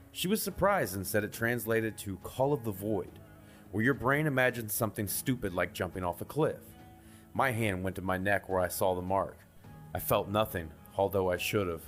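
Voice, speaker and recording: A man with a low voice narrates a scripted horror tale in the first person. He reads it as a storyteller would, over faint background music. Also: lively intonation, moderate pace, fairly bright tone. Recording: music behind the voice.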